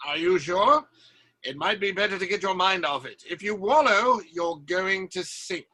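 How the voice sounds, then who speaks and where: An actor playing a fervent, protective leader of a theatre troupe, speaking emphatically in a theatrical audio drama. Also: male voice, sits high, normal speed, bright, forceful tone. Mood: concerned, gentle.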